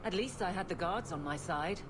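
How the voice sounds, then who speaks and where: A woman's voice: on the low side, animated, moderate pace, a crowd in the background, lightly acted. An actress playing a brusque, dutiful woman captain of the city guard in a video game, speaking in a dry, clipped, wry way. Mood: wry, frank.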